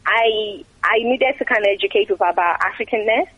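A woman's voice: medium pitch, brisk, lo-fi sound, a crowd in the background. A woman from Nigeria, a guest in an interview, talking casually and chattily with frequent fillers and repeated words.

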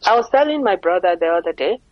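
A woman's voice: fairly low pitch, expressive, quick pace, no echo, dull audio. A middle-aged Nigerian woman with a Nigerian accent, speaking earnestly as an interview guest and often asking rhetorical questions. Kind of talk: conversational interview.

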